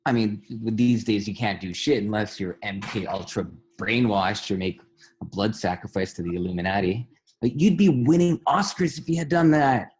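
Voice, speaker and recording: A man's voice: on the low side, normal speed, a little mellow, a little hiss or hum, natural voice. A man playing a friendly, cocksure young roommate who earnestly spouts conspiracy theories in a comedy table read, slangy and boastful. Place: at home, remote table read.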